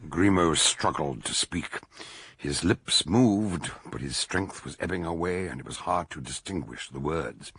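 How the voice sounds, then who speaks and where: An actor playing a stout, wry doctor detective in a radio drama, theatrical and droll, gravelly and husky. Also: male voice, low-pitched, unhurried, a bit bright. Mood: grave, storytelling. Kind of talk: radio drama acting and storytelling narration.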